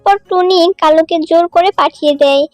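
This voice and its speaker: Very high-pitched woman narrating a story. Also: fairly quick, no room sound, soft background music. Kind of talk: scripted children's cartoon story narration.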